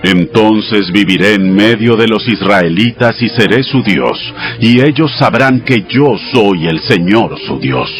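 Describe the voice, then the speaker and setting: A man playing God as a solemn, commanding male deity, delivering measured commands in a dramatized audio scripture. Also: on the low side, lively intonation. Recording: music behind the voice. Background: music.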